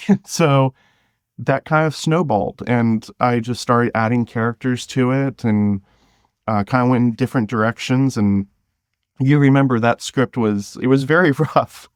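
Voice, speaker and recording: A man's voice: medium pitch, measured pace, clean tone, no echo, clean studio sound. A man talking as an interview guest in a casual, informal way, telling his story with some hesitation and a chuckly manner. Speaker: guest, scriptwriter and audio drama actor.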